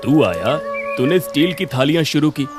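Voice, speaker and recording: A man voicing a wise, calm old village gentleman in a cartoon story, giving measured, gently reproving advice. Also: on the high side. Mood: calm reproof. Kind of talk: animated cartoon story dialogue. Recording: music under the voice.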